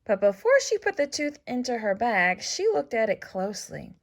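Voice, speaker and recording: A woman reading a children's story aloud as scripted narration, with expressive, deliberate phrasing for young listeners. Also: a little high. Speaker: storybook reader.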